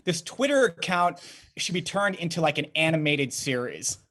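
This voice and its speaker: Male entertainment host, high voice, upbeat and very animated intonation. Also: moderate pace. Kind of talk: upbeat interview hosting.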